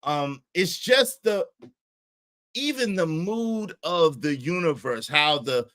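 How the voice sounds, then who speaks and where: A man's voice: a little high, animated, slow pace, fairly bright tone. An American man hosting a casual livestream, talking emphatically to viewers, repeating phrases and checking in with short tag questions. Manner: emphatic, repeats phrases, tags statements with "right?" and "okay?". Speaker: livestream host.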